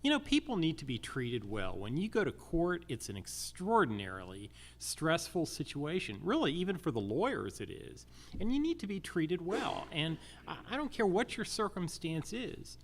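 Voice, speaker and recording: An American man speaking as a judicial candidate at a public forum, deliberate and using emphatic repetition. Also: fairly high pitch, big pitch swings.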